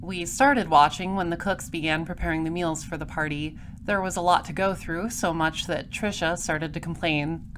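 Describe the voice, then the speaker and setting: A woman acts a composed female detective narrating her case in an audio drama. Her voice is a little low, measured and wry, on a recording with some faint noise. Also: fairly even intonation, fairly bright tone, close to an everyday voice. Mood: dry narration.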